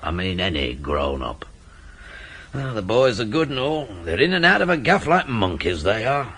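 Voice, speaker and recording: A man's voice: on the low side, very animated, moderate pace, a bit bright, slight background noise. An actor playing a wily small-time crook, a British working-class man with a chatty, wheedling manner who repeats himself, in radio drama style. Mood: boastful, confiding.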